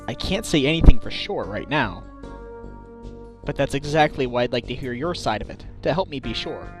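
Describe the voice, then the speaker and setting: A man playing an earnest, expressive defense lawyer, easily baffled, in a video game fan dub. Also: mid-range voice, normal speed, vocal fry, music in the background. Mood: earnest, measured. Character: earnest, reactive male defense lawyer, mildly exasperated.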